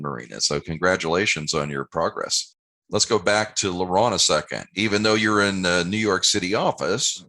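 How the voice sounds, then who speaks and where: A man's voice: deep voice, sharp tone. A man hosting a podcast interview, asking questions in a friendly, encouraging way. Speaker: podcast host.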